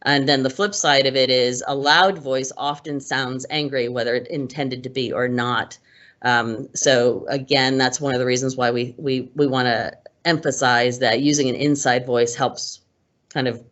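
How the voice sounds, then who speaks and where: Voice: medium pitch, fairly even intonation, normal speed, fairly bright tone. A presenter explaining workplace manners in a casual, conversational way, with frequent ums and restarts. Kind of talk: casual instructional talk. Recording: muffled lo-fi recording.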